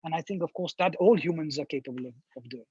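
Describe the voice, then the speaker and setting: A man's voice: fairly high pitch, quick pace, a little mellow, slightly rough, no echo, lo-fi sound. A man from India, a professor answering seminar questions thoughtfully, with frequent restarts and fillers. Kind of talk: academic seminar talk.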